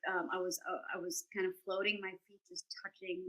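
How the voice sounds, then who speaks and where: A woman's voice: fairly low pitch, little pitch movement, fairly quick, pushed and bright, low-quality recording. A woman in her forties, a playwright talking in an interview, earnest and reflective, often restarting and searching for words. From grew up in Argentina and India. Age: 40s. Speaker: guest, playwright and survivor.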